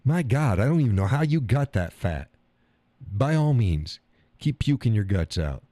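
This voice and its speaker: A man's voice: mid-range voice, very animated, moderate pace, dark tone, no room sound. A man hosting a live stream show, talking bluntly and profanely. He hammers points by repeating phrases and reads out viewer chat comments.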